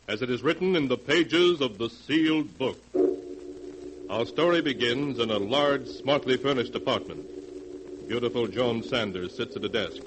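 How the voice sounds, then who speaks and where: A male actor as an ominous, theatrical storyteller of dark tales from 1940s radio horror. He draws out every phrase slowly and portentously, his voice ringing in a long hall reverb. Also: mid-range voice, background noise, lightly acted. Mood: solemn storytelling. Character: ominous storyteller narrating tales from a sealed book.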